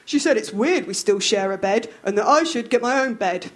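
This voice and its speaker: A woman's voice: medium pitch, animated, normal speed, lightly acted. A woman reading stubborn Roy. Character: stubborn plain-spoken man Roy.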